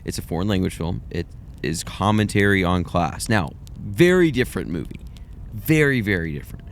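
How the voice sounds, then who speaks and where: Young man, podcast co-host, low voice, rambling, self-deprecating, faint background rumble. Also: expressive, moderate pace. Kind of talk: casual film review chat.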